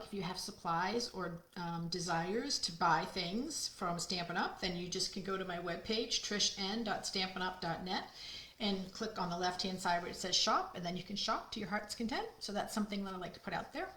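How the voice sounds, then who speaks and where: A middle-aged woman with a loud, projecting voice, chatting casually with viewers as she hosts a live card-making stream. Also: medium pitch, a little fast. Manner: chatty, friendly, talks to viewers by name. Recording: echoey room.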